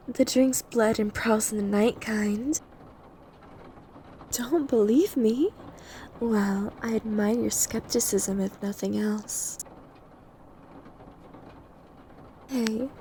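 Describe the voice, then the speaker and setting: An unhurried, slightly high female vampire acted by a woman in an intimate ASMR roleplay. She is coy and eerily polite, teasing as she rambles through explanations, with creaky fry in her voice and a faint hiss on the recording. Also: warm tone. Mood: teasing, confiding. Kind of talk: scripted ASMR roleplay addressed to the listener.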